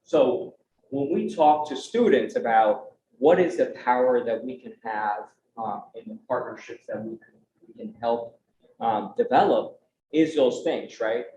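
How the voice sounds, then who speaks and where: A man's voice: mid-range voice, lively intonation, measured pace, dark tone. Man, young adult, conference panelist, earnest conversational talk, roomy dull sound, faint noise. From United States. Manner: earnest, conversational, frequent "right?" tag questions.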